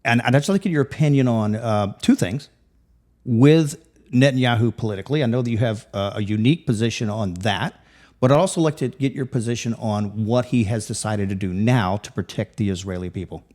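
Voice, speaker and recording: A man's voice: fairly low pitch, brisk, darkish tone. A man hosting a talk show, interviewing a guest and asking long questions with frequent repeated words.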